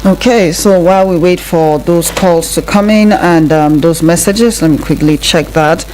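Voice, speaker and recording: A woman's voice: fairly low pitch, normal speed, a little mellow, a little hiss or hum, low-quality recording. A woman with a Nigerian accent hosting a live call-in radio show, chatting courteously with listeners. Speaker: radio host. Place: radio studio.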